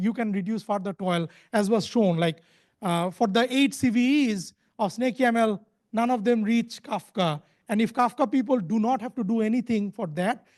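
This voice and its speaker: A man presenting at a conference as a speaker, in a halting delivery with frequently repeated words and restarted phrases. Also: very high-pitched, darkish tone. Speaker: conference speaker, company founder.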